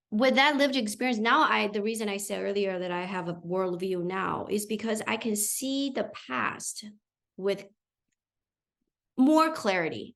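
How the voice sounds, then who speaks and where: A woman's voice: mid-range voice, creaky. A woman of around forty from China, speaking English with a Chinese accent, giving a thoughtful, searching answer at her dissertation defense and often checking in with "right?". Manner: thoughtful, searching for words, frequent tag "right?". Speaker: doctoral candidate defending a dissertation. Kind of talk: answering a committee's question in an academic defense.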